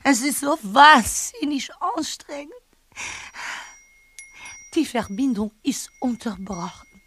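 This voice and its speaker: Getragen sprechende, theatralische Geisterseherin. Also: female voice, high-pitched, animated, fairly bright tone, dry recording, exaggerated voice. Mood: exhausted, gasping. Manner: slow, halting, breathy séance delivery. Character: theatrical female spirit medium, mystical, dramatically frail. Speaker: actress in an audio drama. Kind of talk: radio play acting.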